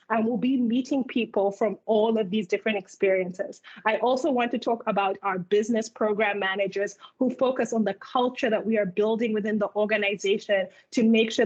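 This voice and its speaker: A woman in her thirties from Uganda, giving a clear, organized keynote talk at a virtual hiring event. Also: mid-range voice. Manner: clear, organized presenter, speaking in lists. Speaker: keynote speaker and product manager. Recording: muffled video call audio.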